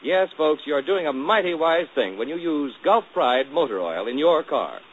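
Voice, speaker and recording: A man's voice: on the high side, very animated, normal speed, noisy background. A man announcing on 1940s radio, reading a commercial in a folksy, hearty salesman manner. The sound is thin and tinny, like an old broadcast.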